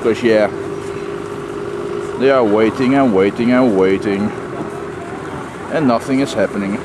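A man's voice: lowish voice, a little slow. A man giving casual, wry vlog commentary on the sights around him, speaking into a handheld camera outdoors. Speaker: vlogger. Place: outdoors on busy city streets. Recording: clear outdoor street and traffic noise.

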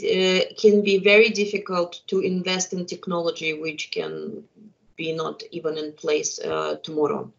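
30s woman running a webinar panel, lots of uhs, muffled laptop mic audio. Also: mid-range voice. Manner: hesitant, many fillers, non-native phrasing. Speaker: webinar moderator. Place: home room with window, indoors. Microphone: laptop webcam video call. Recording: dull lo-fi video call audio.

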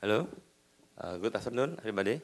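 A man from Indonesia giving a conference update at a podium microphone in a hall, halting with fillers. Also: on the low side, animated. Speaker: presenter. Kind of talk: conference presentation.

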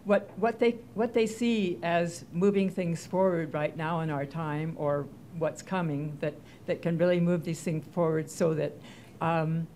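Older woman, lowish voice, halting moderator, handheld mic, faint room noise. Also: steady tone, mellow. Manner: halting, many fillers and restarts. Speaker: panel moderator. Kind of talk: hesitant spoken question to a panel. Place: conference room, seated at panel table.

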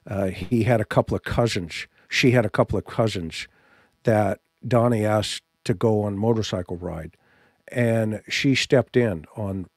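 Low-voiced middle-aged man, halting host. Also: darkish tone, dry recording, studio quality. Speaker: livestream host. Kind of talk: live commentary to an audience. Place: small indoor home studio. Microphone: studio microphone on boom arm, close.

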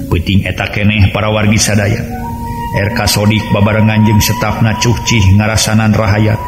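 A man with a West Javanese accent narrating a serial folk tale in a dramatic storytelling style. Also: lowish voice. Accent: Sundanese-language region of West Java, Indonesia. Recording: roomy echo with music underneath.

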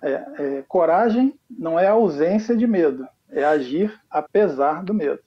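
A Brazilian man in his thirties talks with a Brazilian Portuguese accent as a guest on a video call. He is earnest and hesitant and pauses often with fillers. The webcam audio comes through dull and lo-fi. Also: on the high side, lively intonation. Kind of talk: casual video-call conversation. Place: home room with flag behind.

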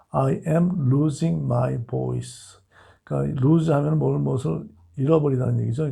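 Guy teaching english phrases, super gravelly low dark tone, slow careful delivery. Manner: reads English example sentences very slowly and deliberately. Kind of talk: English lesson explained in Korean.

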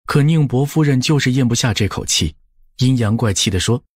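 A man narrating an audiobook as expressive scripted storytelling, with a husky voice. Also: medium pitch, fairly quick, no room sound, clean studio sound.

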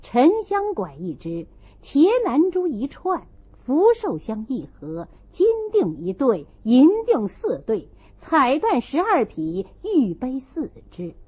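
Audiobook narrator: woman, expressive scripted reading, husky tone, lively intonation, dull lo-fi sound. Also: high-pitched, slow.

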